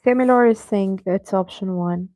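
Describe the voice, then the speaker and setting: A woman's voice: medium pitch, normal speed, warm tone, dry recording. A woman teaching an online English class, patiently going over answers and addressing students by name.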